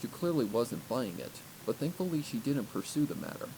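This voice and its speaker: Man narrating fiction over clear noise. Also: mid-range voice, very animated, brisk, dark tone. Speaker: audiobook narrator. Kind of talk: first-person fiction narration. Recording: clearly noisy recording.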